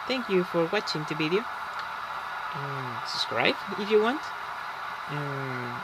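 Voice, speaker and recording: A man from Chile with a Chilean Spanish accent, a Spanish speaker talking casually in English over gameplay and often pausing to search for words. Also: sits high. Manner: halting, searching for words with many fillers. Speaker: gaming channel host. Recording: loud background noise.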